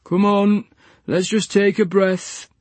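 A man voicing a calm, patronizing male hospital carer who speaks slowly and soothingly. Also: high voice, no room sound, pitched above the performer's own voice.

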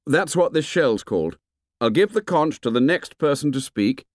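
A man's voice: fairly high pitch, lively intonation, moderate pace, no echo, clean studio sound, voice pushed up, close to an everyday voice. A man voicing an earnest, commanding schoolboy leader in an audiobook, speaking in short emphatic phrases. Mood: firm. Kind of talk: audiobook character voice.

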